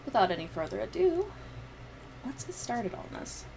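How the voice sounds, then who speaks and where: A woman's voice: on the high side, big pitch swings, moderate pace, a little mellow. A woman hosting a livestream, chatting casually and informally to her viewers. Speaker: livestream host. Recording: clear background noise.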